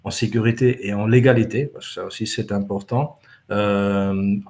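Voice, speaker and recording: A man who is not a native French speaker, a company manager answering interview questions at a trade show, halting with many fillers and restarts. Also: fairly low pitch, fairly even intonation, darkish tone. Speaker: company country manager, guest.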